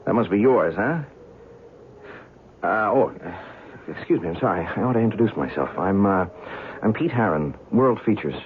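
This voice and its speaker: An actor playing a young, skeptical newspaper writer, chatty and curious, in an old-time radio drama. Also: male voice, low voice, lively intonation, moderate pace, pauses often. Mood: curious, flustered. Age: young adult. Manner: hesitant, stammering in dialogue. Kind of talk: old-time radio drama acting, first-person narration and dialogue. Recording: tinny, band-limited old radio recording, faint noise.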